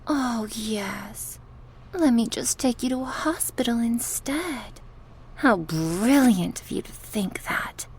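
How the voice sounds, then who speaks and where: Woman playing a slow, mocking, sadistic goddess. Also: fairly high pitch, lively intonation, slightly rough, exaggerated voice. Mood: sarcastic. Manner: taunting, sarcastic, slow and drawn out with sighs. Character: sadistic goddess, mocking, cruel, playfully menacing captor. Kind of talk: ASMR horror roleplay.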